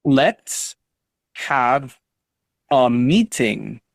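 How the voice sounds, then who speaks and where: A man's voice: medium pitch, very animated, very slow, vocal fry, dry recording, studio quality. A man teaching an online English class over a video call, patiently drilling students on pronunciation and encouraging them.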